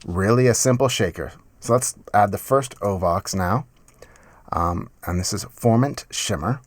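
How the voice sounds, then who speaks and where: A man in his thirties giving a casual, enthusiastic music software tutorial in a conversational way, close on a mic in a home studio. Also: fairly low pitch, measured pace, a little hiss or hum. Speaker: music production tutorial host. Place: small home studio, indoors.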